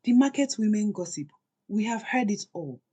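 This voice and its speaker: A woman's voice: medium pitch, expressive, normal speed, warm tone, husky, pitched above the performer's own voice. A woman voicing a long-suffering, defiant wife in a folktale, solemn and conspiratorial. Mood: resolute. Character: Bolanle, grave, defiant, long-suffering secretive Nigerian wife.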